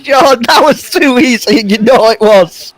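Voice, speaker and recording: A man co-hosting a live reaction chat, excitable and laughing often, doing mock announcer introductions. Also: extremely high pitch, a little slow.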